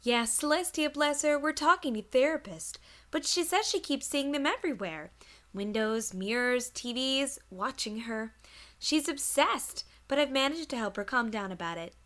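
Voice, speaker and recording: Coy storybook pony, female performer, playful tease who loves gossip, high-pitched and very expressive. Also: normal speed. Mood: confiding. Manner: coy, teasing. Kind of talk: audiobook character acting.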